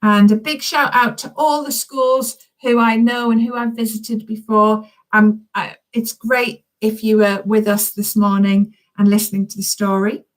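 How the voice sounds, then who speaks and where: A woman's voice: fairly high pitch, level tone, unhurried, few pauses, poor audio. A woman reading a children's story expressively, speaking directly and encouragingly to young listeners.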